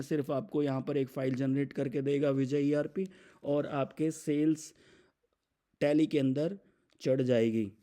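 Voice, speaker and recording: Indian man explaining software, mellow voice. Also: medium pitch. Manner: mixes English business terms into speech. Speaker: software demonstrator. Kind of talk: instructional screen demo, explaining step by step.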